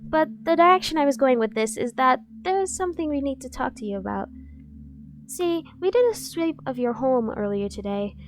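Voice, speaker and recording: High female voice, woman acting as obsessive bodyguard, coaxing, insistent, dorky, feigned professionalism, ASMR roleplay. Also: expressive, moderate pace, soft background music. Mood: smooth persuasion.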